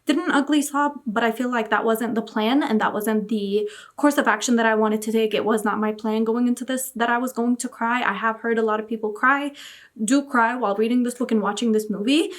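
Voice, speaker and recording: A woman's voice: fairly high pitch, steady tone, fairly quick. Gushing young woman reviewing books. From Iraq. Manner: gushing, repeats words like really and very. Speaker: book vlogger. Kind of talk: casual book review chat.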